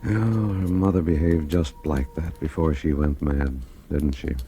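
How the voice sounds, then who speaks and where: An actor playing a concerned husband in a vintage radio suspense drama. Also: male voice, very deep voice, fairly even intonation, unhurried, mellow. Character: concerned husband in a troubled household. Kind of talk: 1940s radio drama acting. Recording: old radio drama with faint music.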